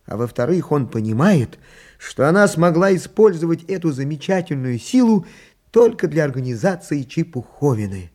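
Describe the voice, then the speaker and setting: A husky-voiced man narrating a children's radio play as its storyteller. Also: a little high, big pitch swings, normal speed, darkish tone.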